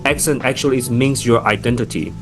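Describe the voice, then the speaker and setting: Man, Taiwanese accent, podcast guest, middle pitch, faint music underneath. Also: a little fast. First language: Chinese. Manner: thoughtful, many fillers and restarts. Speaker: guest, startup founder. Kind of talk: podcast interview.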